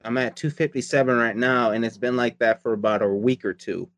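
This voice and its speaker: A man's voice: medium pitch, steady tone, a little fast, a bit bright, no echo. Rambling, cursing man in casual chat. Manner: rambling, profane, repeats himself.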